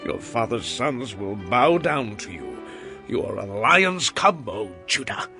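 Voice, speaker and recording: An aged, dying patriarch in a scripture drama, with a bright voice that swings between hushed gravity and grand declamation. He addresses his sons solemnly over faint music. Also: medium pitch, sing-song, slow pace, full character voice. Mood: proud, exalting.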